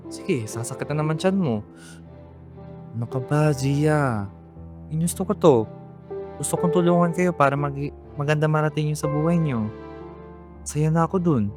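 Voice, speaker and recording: A man's voice: a little high, moderate pace, long pauses, music in the background, close to an everyday voice. A young man with a Filipino accent playing a weary, resentful eldest son who supports his family, earnest and emotional, in an amateur radio drama. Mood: caring. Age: young adult.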